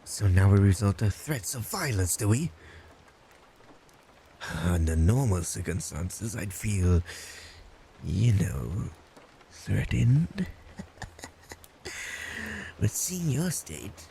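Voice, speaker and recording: Man acting a creepy low-voiced yandere stalker. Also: big pitch swings, vocal fry, warm tone, slight background noise, full character voice. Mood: taunting, sardonic. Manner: sardonic, halting, breaking into giggles and mutters. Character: creepy, blunt, unhinged yandere male next-door stalker. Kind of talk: roleplay audio drama addressed to the listener.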